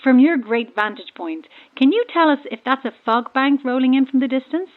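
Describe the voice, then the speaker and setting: A woman's voice: high voice, expressive, moderate pace, phone-quality sound. An actress playing a polite woman calling from a pleasure cruiser over marine radio in an audio drama. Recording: noisy, crackly boat radio transmission.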